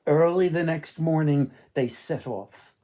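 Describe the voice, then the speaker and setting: Male children's storyteller: unhurried, mellow, deliberate phrasing, dramatic emphasis. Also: on the high side. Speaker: author and storyteller.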